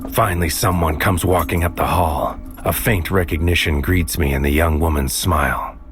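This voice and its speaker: An actor playing a tormented male protagonist, narrating a sci-fi mystery drama in a grave, deliberate, poetic way. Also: rumbling low. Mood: restless, grim. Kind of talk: first-person scripted audio drama narration. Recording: music behind the voice.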